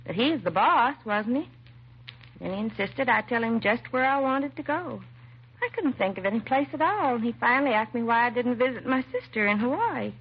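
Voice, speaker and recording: An American actress playing a timid, self-doubting young secretary in a 1950s radio drama, hesitant and apologetic. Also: female voice, a little high, expressive, a little fast, slightly rough. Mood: earnest, hesitant. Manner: hesitant, nervous, apologetic, trailing and restarting. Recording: muffled vintage radio recording, faint hiss.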